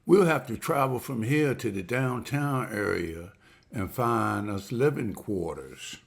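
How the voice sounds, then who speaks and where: A man's voice: mid-range voice, lively intonation, unhurried, creaky, slightly rough, exaggerated voice. A man reading the part of William, a hopeful, respectful husband who has just landed a waiter's job, in a table read. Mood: eager. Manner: earnest, respectful, says ma'am.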